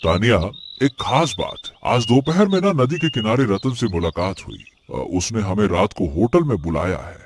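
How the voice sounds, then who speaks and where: A man playing a struggling farmer in a cartoon moral story, a supportive friend with a measured, reassuring manner. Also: lowish voice, big pitch swings, slight background noise. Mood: reassuring, calm. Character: struggling taro farmer Mohan, supportive, reflective childhood friend. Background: faint music.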